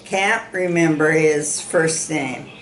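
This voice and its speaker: Woman from South Dakota, unhurried, plain-spoken storyteller, roomy home recording. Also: low-pitched. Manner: slow, plain-spoken, rambling recollection. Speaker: interviewee, interviewer's sister. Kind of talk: reminiscing in an interview. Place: room in a ranch home.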